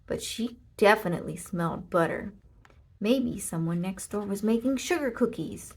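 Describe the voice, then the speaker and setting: A woman narrating a children's storybook aloud, with expressive, deliberate delivery and emphasis on short sentences. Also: medium pitch, breathy edge. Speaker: storybook reader.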